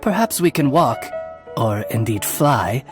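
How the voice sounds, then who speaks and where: A man's voice: medium pitch, big pitch swings, unhurried, music in the background. A man voicing a humble, polite talking raven in a video game, speaking formally like a butler. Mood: courteous, explanatory. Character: courteous male talking raven, humble familiar to a princess.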